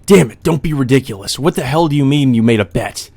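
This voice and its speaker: A man's voice: mid-range voice, animated, fast talker, gravelly, a little mellow, dry recording, voice pushed up. A man playing an anguished young visual novel protagonist, urgent, incredulous and accusing. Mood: anguished, angry. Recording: music under the voice.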